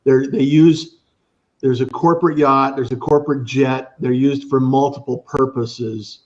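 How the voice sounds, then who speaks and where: A man's voice: mid-range voice, steady tone, measured pace, a little mellow, highs cut off. A man hosting a business talk show, rambling and repeating words as he asks his guest questions, and joking at himself when he stumbles.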